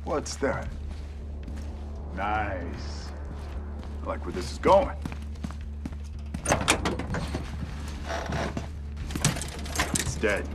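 Brash, wildly animated mercenary. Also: male voice, on the low side, slow, over music. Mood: cocky, excited. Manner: cocky, casual, profane. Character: brash, wisecracking male masked mercenary, gung-ho under fire. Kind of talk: video game cutscene acting.

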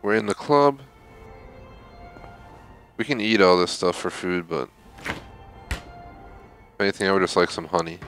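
Young male gamer, low voice, offhand commentary over quiet game music. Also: lively intonation, a little slow, pauses often. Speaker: gamer doing a playthrough. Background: game sound and music.

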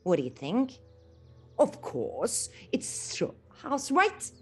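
A woman's voice: fairly high pitch, wildly swooping pitch, slow pace, slightly rough, faint music behind. A woman with a non-native English accent telling a fairy tale to children theatrically, often putting questions to her listeners. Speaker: children's storyteller.

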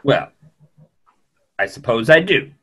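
A middle-aged man plays a grave doctor in a stage play reading. The voice is husky and animated in pitch. He is formal, courteous and emphatic. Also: slow, exaggerated voice. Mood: firm, emphatic. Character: grave, courteous male doctor, firm in his opinions. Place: small room at home, video call.

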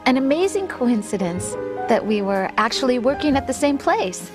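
A tender, nostalgic young woman in a video game. Her voice is slightly high, and music plays clearly behind her. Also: big pitch swings, moderate pace. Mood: wistful, affectionate. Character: affectionate young woman, soldier's nostalgic girlfriend. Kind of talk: video game voice acting, conversational.

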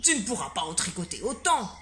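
A woman shouting as an exasperated male schoolteacher. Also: medium pitch, big pitch swings, fairly quick, slight background noise. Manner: shouting, scolding.

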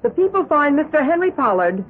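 An actress playing a formal American prosecutor in a radio drama, putting precise questions. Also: female voice, sits high, big pitch swings, brisk. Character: formal, brisk female prosecutor questioning in court. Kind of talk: 1970s radio drama acting. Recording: muffled old radio broadcast.

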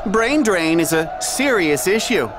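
A man's voice: high voice, animated, a little slow, music in the background. An actor playing a chatty, wry male sidekick to an action hero in a video game.

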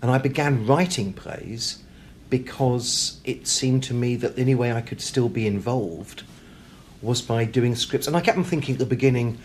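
A man's voice: mid-range voice, moderate pace. Garrulous British man, self-deprecating. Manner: hesitant, with repeated words and occasional stammering. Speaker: interview guest, writer. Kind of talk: casual interview conversation. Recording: clear background noise, room ambience.

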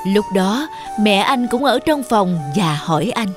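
A woman's voice: medium pitch, expressive, a little slow, over music. A woman narrator reading a scripted fairy tale for children.

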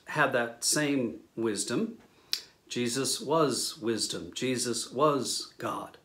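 A man in his sixties preaching a sermon as a pastor, with measured, deliberate phrasing and pauses. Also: medium pitch, fairly bright tone. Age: 60s. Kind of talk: sermon, teaching scripture to camera. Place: small indoor room, speaking to camera.

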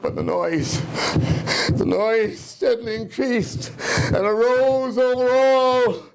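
A guilty, unraveling murderer in his sixties, delivering a gothic horror confession on stage. The voice is high and slow, halting over repeated words with agitated, declamatory force. It is caught live in a room with faint noise. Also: fairly even intonation, creaky, darkish tone, heavily acted. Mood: frenzied, raving. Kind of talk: theatrical dramatic reading of gothic horror. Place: small indoor room in an old house, live audience.

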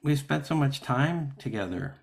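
A man with a mid-pitched voice talks over a video call in a panel discussion. He is thoughtful and halting, working out his point as he goes. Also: animated, gravelly. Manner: thoughtful, halting, reasoning aloud. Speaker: actor. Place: indoors at home on a video call. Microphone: video call microphone.

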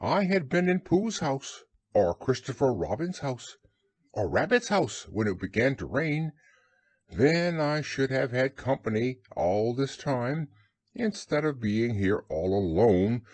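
A man voicing a tiny, timid young male piglet in a storybook reading, with a high, wistful, fretful voice. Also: sing-song, measured pace, darkish tone, breathy edge, no echo.

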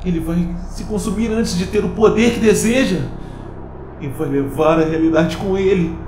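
A man's voice: sits high, moderate pace, background noise, lightly acted. A man voicing a frightened, pleading fragment of an AI in an audio drama. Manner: pleading, shaky. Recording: roomy echo, faint music.

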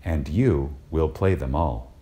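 A man reading a spiritual lecture aloud in a reverent, dramatic style, deliberate and emphatic, with pauses for effect. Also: extremely low pitch, dark tone.

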